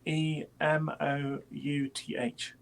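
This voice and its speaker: A woman's voice: low voice, little pitch movement, takes it slowly, vocal fry. A middle-aged woman from Sweden, a guest musician chatting unhurriedly in an interview over a video call. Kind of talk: casual interview chat.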